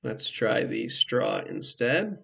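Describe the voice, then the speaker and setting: A man giving casual, measured commentary as he hosts a video game walkthrough, explaining things hesitantly with dry asides. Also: mid-range voice, very animated, vocal fry, bright, forceful tone, breathy edge, highs cut off. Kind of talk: casual let's play commentary.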